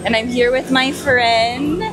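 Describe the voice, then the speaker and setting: A woman's voice: on the high side, steady tone, takes it slowly, low-quality recording. A woman in her twenties from the United States, chatting casually and giggly as a pageant contestant hosting a vlog. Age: 20s. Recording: music playing behind the voice. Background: music.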